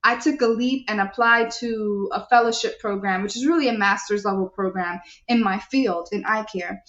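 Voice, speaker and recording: A young adult woman hosting a live show, reading viewer comments and asking her listeners questions in an animated, preachy way. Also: mid-range voice, normal speed. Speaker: show host. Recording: echoey, dull lo-fi sound.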